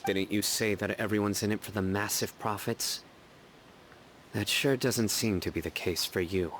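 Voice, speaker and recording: An actor playing a stern, laconic lone enforcer in a video game, terse and commanding. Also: male voice, fairly low pitch, fairly even intonation, moderate pace, fairly bright tone, slight background noise, close to an everyday voice. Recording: game music behind the voice.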